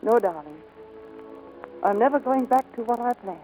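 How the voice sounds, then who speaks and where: A woman's voice: fairly high pitch, lively intonation, normal speed, warm tone, lightly acted, low-quality recording. Actress as a lovestruck woman flyer, vintage radio. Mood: happy, loving. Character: lovestruck, brave woman aviator, tender and resolute. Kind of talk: 1940s radio drama acting. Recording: vintage 1940s radio recording, faint music.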